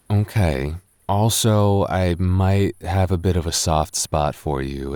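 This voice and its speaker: Very deep-voiced tipsy roommate in love played by a man. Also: darkish tone, no echo, studio quality. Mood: teasing, fond. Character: tipsy male roommate, smitten, teasing, tenderly confessing his love. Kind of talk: intimate boyfriend roleplay audio.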